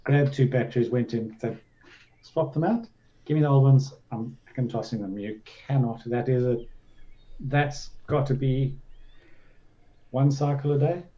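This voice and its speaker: A man's voice: mid-range voice, unhurried, pauses often, warm tone. A man co-hosting a show in casual chat, sweary and self-deprecating. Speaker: show co-host and reviewer. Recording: dull, noisy microphone audio.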